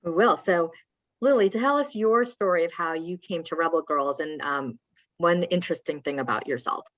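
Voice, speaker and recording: A woman's voice: fairly low pitch, moderate pace, a bit bright, dry recording. A woman casually hosting a panel interview, heard through dull, lo-fi audio. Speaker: interview host.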